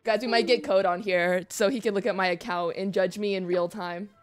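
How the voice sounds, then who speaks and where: A woman streamer chatting casually and playfully about a video game, expressive and prone to giggling. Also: medium pitch, fairly quick. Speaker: streamer, host. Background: music.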